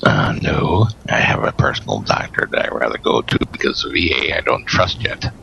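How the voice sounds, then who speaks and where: A man sick with the flu, hoarse and gravelly, calling in to a talk show. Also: extremely low pitch, very animated, normal speed, sharp tone. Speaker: guest host calling in. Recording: dull call-line audio with faint noise.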